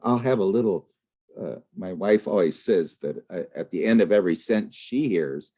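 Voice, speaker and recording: A man in an online study group, talking earnestly but haltingly with many restarts over a video call. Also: low voice, lively intonation, mellow. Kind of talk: casual group discussion.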